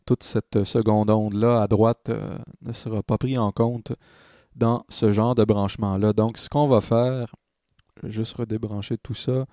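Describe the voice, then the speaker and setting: A man with a dark, soft-edged voice talks through a software tutorial in a dry, close recording. He takes his time, hesitating and thinking aloud as he shows each step, like a tutor working live at the screen. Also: lowish voice, little pitch movement, measured pace, no echo.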